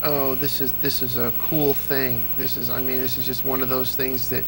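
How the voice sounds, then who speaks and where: A man's voice: mid-range voice, level tone, normal speed, gravelly, noisy background. A man being interviewed as an old-time radio fan, talking with enthusiasm and frequent restarts. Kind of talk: casual interview chat.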